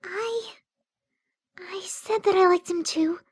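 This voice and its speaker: A woman voicing a timid, gentle female pegasus pony with a hesitant, mumbling manner, in a scripted story reading. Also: extremely high pitch, slow. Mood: bashful.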